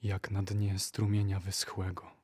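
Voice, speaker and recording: A man reciting poetry for an audio drama in a solemn, measured manner. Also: low voice, monotone, a little mellow, breathy edge.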